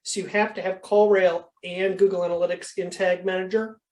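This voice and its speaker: Instructor, mid-pitched, informal coaching Q&A on a video call, explanatory and conversational. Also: little pitch movement, brisk. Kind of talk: informal question-and-answer coaching.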